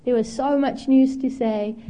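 A woman's voice: fairly high pitch, fairly even intonation, unhurried, mellow. A young woman from Zimbabwe preaching a candid, storytelling sermon at a church, with frequent ums and self-deprecating asides. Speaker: guest preacher.

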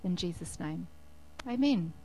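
A woman's voice: fairly low pitch, lively intonation, unhurried, a little mellow, a little hiss or hum, poor audio. A woman leading a church service, who chats in a friendly way with a guest and leads a prayer.

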